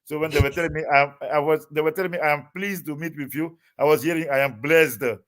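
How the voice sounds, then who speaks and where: Halting man with many fillers. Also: a little high, a bit bright. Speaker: guest. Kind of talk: casual interview talk.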